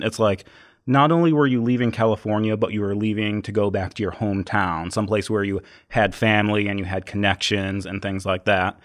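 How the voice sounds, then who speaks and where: Male host, low-ish voice, blunt and skeptical interviewer. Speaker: podcast host. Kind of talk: casual interview.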